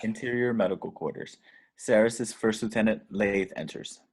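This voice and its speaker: Low-voiced man reading stage directions. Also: normal speed, a little mellow. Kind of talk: scripted narration at a table read.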